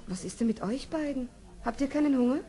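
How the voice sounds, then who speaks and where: A woman's voice: sits high, fast talker, mellow. An actress playing a kindly grown-up woman who looks after children, in a children's radio play, motherly and matter-of-fact. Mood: kindly, informative. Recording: faintly noisy old vinyl radio play.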